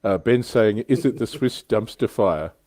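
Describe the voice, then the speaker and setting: A man with a fairly low voice hosts an informal hobby chat. He is jokey with a dry sense of humour, and the sound is close and dry, with little room in it. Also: unhurried, a little mellow, no echo. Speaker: livestream host. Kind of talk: casual hobby chat, reading viewer comments.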